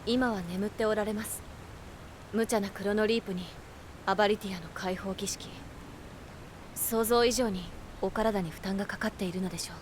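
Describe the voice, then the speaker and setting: A woman's voice: medium pitch, fairly even intonation, normal speed, a little hiss or hum, natural voice. A woman voicing an earnest young female attendant in an anime game, speaking in a formal, courteous, explanatory way. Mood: concerned, subdued. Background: game music.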